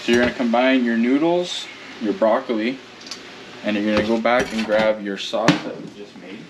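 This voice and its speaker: A man's voice: lowish voice, moderate pace, very noisy. A young man cooking in a home kitchen, plainly explaining each recipe step. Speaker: home cook. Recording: loud sizzling and boiling behind. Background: frying and boiling pots.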